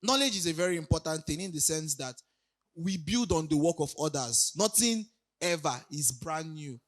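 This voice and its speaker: A young man with a raspy voice from recent illness, giving a casual conference talk full of hesitations. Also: on the high side, animated, moderate pace. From Nigeria. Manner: hesitant, many ums and restarts, laughs lightly. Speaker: conference speaker. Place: conference hall.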